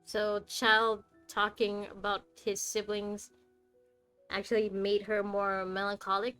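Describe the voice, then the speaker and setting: A woman's voice: medium pitch, completely flat delivery, takes it slowly, fairly bright tone, clean tone. Chatty female game streamer. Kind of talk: casual live gameplay commentary. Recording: stream commentary over game music.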